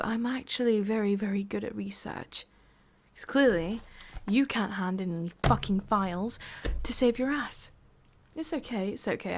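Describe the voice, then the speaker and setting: A woman's voice: mid-range voice, a little mellow, lightly acted. A woman with an English accent playing a sadistic, condescending boss in an ASMR roleplay, whispery and menacing. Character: sadistic, domineering female boss scolding an employee.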